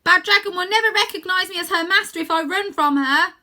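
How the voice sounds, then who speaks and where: A woman's voice: extremely high pitch, brisk, sharp tone, voice pushed up, heavily acted. A woman voicing a bold, defiant girl dragon rider in a storybook, speaking in firm, commanding declarations.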